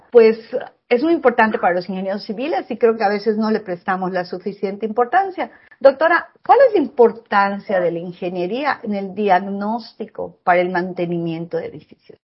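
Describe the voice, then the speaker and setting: Female host, courteous interviewer, breathy tone, muffled radio quality. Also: mid-range voice, animated, moderate pace. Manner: formal, courteous.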